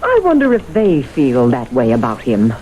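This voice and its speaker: An older widowed mother from a vintage radio play, proud and wistful. Her mellow voice moves freely up and down with theatrical, genteel dryness. The old broadcast carries faint noise. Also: extremely low pitch, wildly swooping pitch, normal speed, heavily acted, poor audio. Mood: resigned, dry. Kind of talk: radio drama acting.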